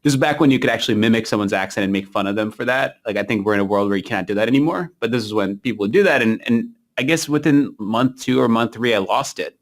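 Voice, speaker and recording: A man from India, now without an accent, telling stories as a podcast guest in a relaxed, anecdotal way. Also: lowish voice. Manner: relaxed anecdotal storytelling, speeds up in asides. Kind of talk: casual interview chat.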